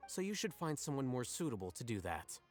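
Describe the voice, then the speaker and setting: A man playing a calm, unsentimental strategist in a video game, matter-of-fact and deadpan. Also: medium pitch, a little fast, natural voice. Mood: dryly dismissive. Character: calm, dry, unsentimental young male strategist. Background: game music.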